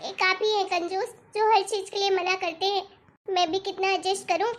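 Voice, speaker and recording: Very high-pitched whiny cartoon wife acted by a woman. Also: flat delivery, normal speed. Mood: demanding. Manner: whiny, pleading, insistent. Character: demanding, dramatic young spendthrift wife. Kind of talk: amateur Hindi cartoon story dialogue.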